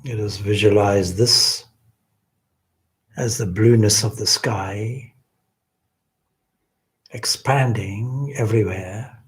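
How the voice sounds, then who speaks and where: A man leading a guided meditation as a swami, speaking in a gentle, reverent, deliberate way with long pauses. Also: fairly low pitch, steady tone.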